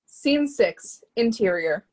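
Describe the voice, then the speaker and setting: A woman's voice: fairly high pitch, expressive, takes it slowly, darkish tone. A woman reading out stage directions as scripted narration at a screenplay reading.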